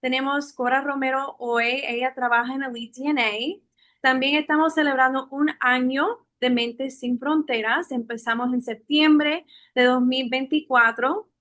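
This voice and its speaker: A woman hosting a podcast, welcoming a guest in a relaxed conversational way with a few fillers. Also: high-pitched, a little slow. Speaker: podcast host. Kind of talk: podcast interview.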